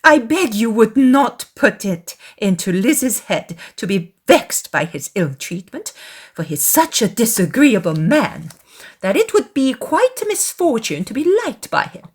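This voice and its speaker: A woman voicing a fussy, excitable middle-aged mother in a dramatized classic novel, emphatic and full of exclamation and indignant gossip. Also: a little high, slightly rough, heavily acted.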